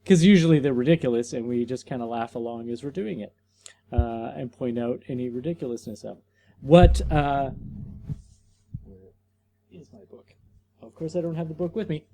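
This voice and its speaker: A man's voice: medium pitch, mellow, slight background noise. A man co-hosting a comic podcast over a video call, explaining things loosely with jokes and filler words. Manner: loose, joking, halting with fillers. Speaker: podcast co-host. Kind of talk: casual chat.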